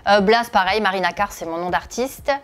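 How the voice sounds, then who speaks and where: A woman's voice: medium pitch, fairly quick, fairly bright tone, a little hiss or hum. A woman in her thirties from northeastern France, a comedian answering interview questions, hesitant with many filler sounds. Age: 30s. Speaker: comedian and video creator.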